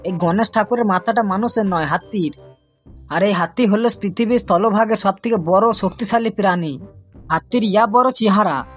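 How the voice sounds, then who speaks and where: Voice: medium pitch, normal speed, slightly rough, faint music behind, lightly acted. A performer voicing a cocky, mischievous schoolboy in a comic cartoon, boastful and recitational, with a Bengali accent. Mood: confident reciting.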